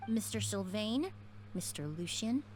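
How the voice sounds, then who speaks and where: An actress playing a polite, shrewd young perfumer in a video game, speaking in a formal, measured, deliberate way. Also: female voice, mid-range voice, expressive, a little mellow, soft background music, natural voice. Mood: composed, probing. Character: composed, polite, shrewd young woman perfumer, quietly interrogating. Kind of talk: scripted video game dialogue.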